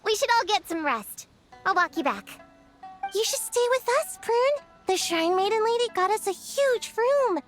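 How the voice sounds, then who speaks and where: A young girl adventurer for a fantasy game. Her voice is very high and lively, childlike and expressive, over faint background music. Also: moderate pace. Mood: casual, hesitant. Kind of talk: animated video game voice acting.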